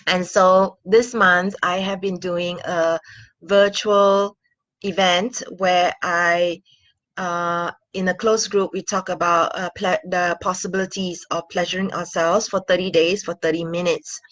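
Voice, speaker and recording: A woman's voice: lowish voice, level tone, unhurried, a bit bright, no room sound. A woman, talking earnestly to a webcam in a small room, with many fillers and little laughs. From Singapore. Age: 40s. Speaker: sex and intimacy coach. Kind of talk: casual talking-head vlog.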